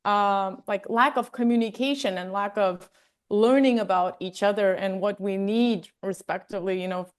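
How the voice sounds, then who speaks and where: A woman's voice: medium pitch, steady tone, moderate pace, clean tone. A woman from Armenia, a wellness coach and interview guest, telling stories in a chatty, casual way with lots of fillers.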